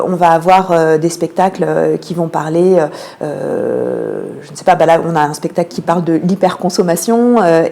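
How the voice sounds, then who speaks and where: A woman giving explanatory answers as a guest in a radio interview, often pausing on drawn-out hesitations. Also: on the low side, gravelly, warm tone. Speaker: theatre programming manager, interview guest.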